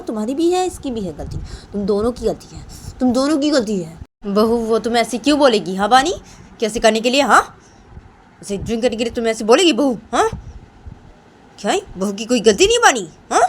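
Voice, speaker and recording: A stern older mother-in-law acted by a woman storyteller scolds in a hectoring, repetitive way, snapping short questions between phrases. Her pitch is mid-range, with faint vehicle noise behind. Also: close to an everyday voice. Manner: hectoring, repetitive, punctuated by sharp questioning tags.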